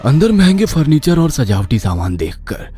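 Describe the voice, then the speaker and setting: A man's voice: fairly high pitch, sing-song, moderate pace, warm tone. A man narrating a scripted horror story in a dramatic style, as a storyteller. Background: prominent music.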